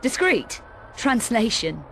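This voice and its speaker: An actress playing a tough young woman adventurer in a video game, dry and deadpan with a veiled menace. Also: fairly high pitch, slow, slight background noise, heavily acted. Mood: sardonic, menacing. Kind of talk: video game cutscene acting.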